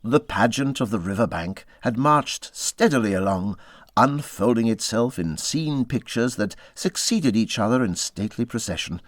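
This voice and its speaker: A man reading a classic story aloud as an expressive audiobook narrator. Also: fairly low pitch, moderate pace, no echo, studio quality.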